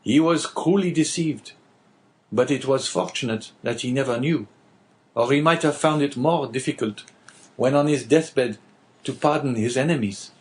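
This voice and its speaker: A man's voice: medium pitch, moderate pace, pitched above the performer's own voice, lightly acted, low-quality recording. A man doing bitter innkeeper Caderousse. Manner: brooding, bitter, earnest. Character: rough, bitter middle-aged innkeeper man. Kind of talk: audiobook character voice.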